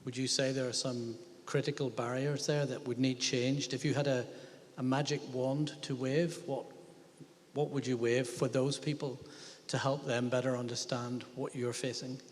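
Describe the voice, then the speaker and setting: A man moderating a panel discussion, polite and thoughtful, pausing with frequent hesitations. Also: medium pitch, flat delivery. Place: lecture hall at a museum event. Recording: reverberant lecture hall.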